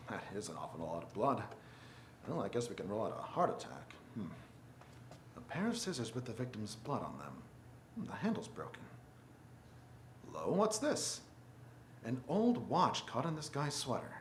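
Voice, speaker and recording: Casting: brisk, authoritative cop male actor, expressive range, thinks out loud, old-time radio drama, reverberant room. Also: mid-range voice, slight background noise. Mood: pondering. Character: brisk, commanding male Chicago police detective. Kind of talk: 1940s radio drama acting. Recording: roomy theater sound with music underneath. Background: music.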